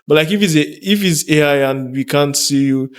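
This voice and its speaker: A man's voice: fairly high pitch, normal speed, clean tone. A man speaking as a podcast guest in casual, conversational chat.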